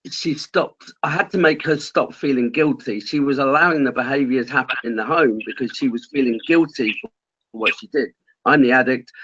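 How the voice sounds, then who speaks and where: A man's voice: medium pitch, fairly bright tone. A man explaining his work on a conference call in a plain-spoken, rambling, colloquial way. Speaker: caseworker with vulnerable clients. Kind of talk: explanatory casual talk on a call. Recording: dull, lo-fi conference call audio.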